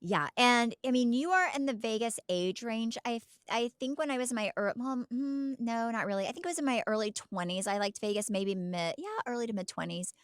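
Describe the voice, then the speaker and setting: A middle-aged American woman co-hosting a podcast in an animated, teasing, casual chat, often repeating words for emphasis. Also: fairly high pitch, fairly quick, few pauses, smooth, no room sound, studio quality. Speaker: podcast co-host.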